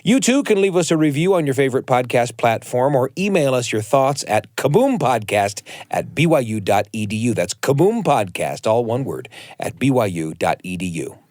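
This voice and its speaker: A man with an American accent hosts a podcast in a storytelling style. His mid-pitched voice moves up and down a lot with deliberate emphasis, recorded dry and close. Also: sing-song, a little mellow, no echo. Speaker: podcast host.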